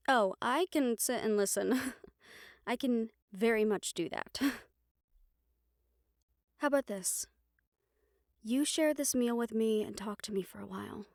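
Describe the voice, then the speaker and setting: A woman playing a gentle, caring young banshee in an intimate audio roleplay, speaking softly and soothingly, with some phrases trailing into a whisper. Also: a little high, gravelly, no echo, studio quality. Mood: warm, inviting. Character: gentle young female banshee from Puerto Rico, caring crush. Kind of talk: intimate audio roleplay acting, one-sided conversation.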